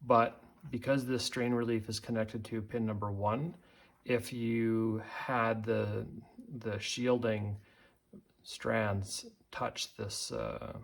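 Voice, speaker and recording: A man giving an unscripted hands-on tutorial, talking haltingly as he thinks aloud while working. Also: fairly low pitch, gravelly. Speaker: tutorial presenter.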